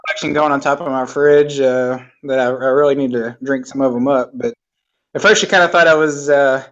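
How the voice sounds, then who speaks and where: A man's voice: mid-range voice, steady tone, fairly quick. Hesitant forty-year-old man on a video call. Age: 40s. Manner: hesitant, full of fillers, easygoing. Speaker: guest. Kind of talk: casual video-call chat. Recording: dull video-call audio.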